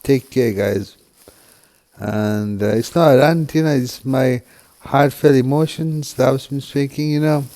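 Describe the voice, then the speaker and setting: A man from the United Kingdom speaking straight to camera in a halting, subdued way, full of restarts and "you know" fillers. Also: medium pitch. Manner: subdued and quiet, grieving. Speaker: vlogger. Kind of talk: emotional personal monologue.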